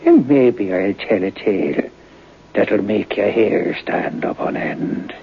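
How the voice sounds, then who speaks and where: An actor playing a folksy Irish storyteller spinning an eerie tale in old-time radio drama, with a theatrical lilt. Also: male voice, on the low side, slow, slight background noise. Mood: relishing. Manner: theatrical, lilting, relishing the tale. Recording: muffled old radio broadcast with music.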